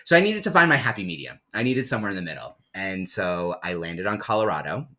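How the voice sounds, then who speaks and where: A man in his thirties from New Jersey, co-hosting a podcast and telling stories in a relaxed casual way. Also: sits low, fast talker. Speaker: podcast co-host. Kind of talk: casual chat.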